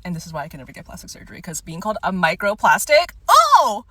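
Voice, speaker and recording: A woman's voice: medium pitch, wildly swooping pitch, fast talker, bright, forceful tone, dry recording. A woman giving casual, humorous commentary with playful, dramatic exaggeration. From Korean background.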